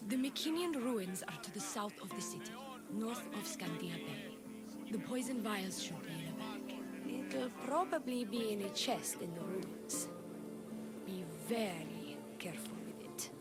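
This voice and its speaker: Casting: actress for a poised priestess schemer, video game, mid-pitched, measured and instructive, music loud underneath. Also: female voice, animated, lightly acted. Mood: businesslike. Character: priestess and plotter, younger sister, composed and flirtatious. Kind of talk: scripted video game dialogue.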